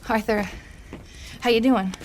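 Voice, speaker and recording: A woman's voice: fairly high pitch, fairly even intonation, measured pace, lightly acted. An actress playing a worried, pleading young frontier woman in a western game. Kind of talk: cinematic western game acting. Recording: faint background noise.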